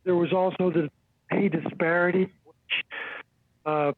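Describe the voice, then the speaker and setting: An older man, an interview guest, recalling his experiences in a halting, deliberate way over a remote call. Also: sits high, steady tone, a little hiss or hum. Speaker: interview guest, former union spokesperson. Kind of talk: reflective personal recollection in interview. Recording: thin phone-like line, dry.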